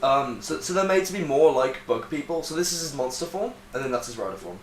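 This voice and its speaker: A man's voice: mid-range voice, lively intonation, fast. A young man chatting casually as host, explaining things like an enthusiastic, sweary fan, in a roomy, noisy recording. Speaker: host ranking TV hero suits with friends. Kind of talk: casual chat, explaining and commenting. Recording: roomy echo, clear background noise.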